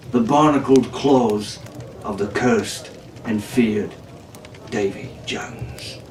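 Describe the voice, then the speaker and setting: A man's voice: mid-range voice, a little mellow. A man narrating an adventure story in a theatrical, pirate-flavoured storyteller's delivery. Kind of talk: dramatic audiobook narration. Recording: roomy, distant, noisy home recording.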